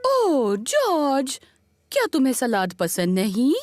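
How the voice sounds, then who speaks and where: A kindly, doting old grandmother pig in a kids' cartoon. Her voice is fairly high and swoops widely in a coaxing sing-song, at an unhurried pace over faint music. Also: huge pitch swings, darkish tone, dry recording, clean studio sound, heavily acted. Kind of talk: children's cartoon dub.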